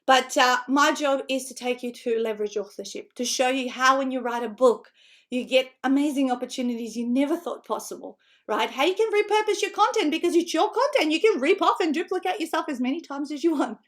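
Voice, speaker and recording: A woman's voice: high-pitched, fairly quick. A middle-aged woman from North Macedonia with a Macedonian-tinged Australian accent, a native Macedonian speaker, energetically presenting an online seminar as a book-writing coach. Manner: energetic, chatty, frequent tag questions and laughs.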